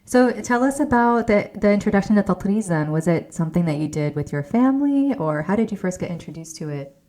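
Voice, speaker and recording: A woman's voice: mid-range voice, expressive. A woman hosting a podcast, reacting fondly to her guest and asking short questions. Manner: warm affectionate reactions and quick questions. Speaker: podcast host. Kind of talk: casual podcast interview.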